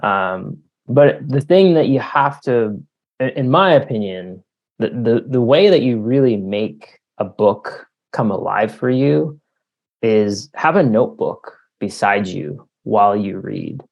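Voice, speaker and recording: A man's voice: lowish voice, lively intonation, slow, vocal fry, lo-fi sound. A man from Canada answering interview questions in a conversational, explanatory way, thinking aloud with lots of "you know" and "like". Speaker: author being interviewed.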